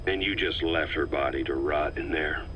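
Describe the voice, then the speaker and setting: Hard-edged interrogator for a game, deep voice, cynical and pressing, slightly muffled with faint noise. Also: male voice, moderate pace. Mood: cold accusation. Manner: pointed, sardonic, pressing. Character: hard, cynical male military interrogator, coldly accusing. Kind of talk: video game cutscene acting.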